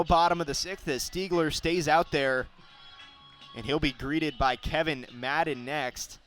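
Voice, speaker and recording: A young man with a fairly high voice does live baseball play-by-play. He describes the action in detail and lifts his delivery for big plays. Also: fairly bright tone. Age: young adult. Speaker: play-by-play announcer.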